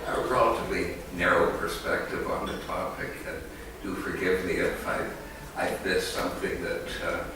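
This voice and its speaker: A man's voice: low-pitched, fairly even intonation, normal speed, noisy background. A man giving an academic conference talk as an economist, hesitant, with many fillers and restarts, recorded in an echoey hall from a distant mic.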